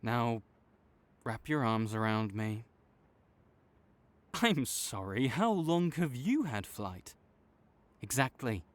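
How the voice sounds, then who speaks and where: A man playing a tsundere supervillain in an audio roleplay, halting and exasperated, teasing and reluctantly caring toward a rival. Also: medium pitch, very animated. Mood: reassuring, teasing. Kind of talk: intimate audio roleplay addressed to the listener.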